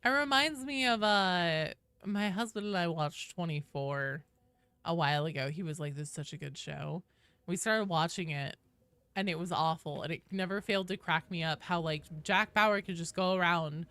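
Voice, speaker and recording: A woman's voice: lowish voice, normal speed, fairly bright tone, clean tone, no echo. A woman chatting casually to viewers as a game livestreamer, playful and joking, with some swearing. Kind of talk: casual livestream chat while gaming.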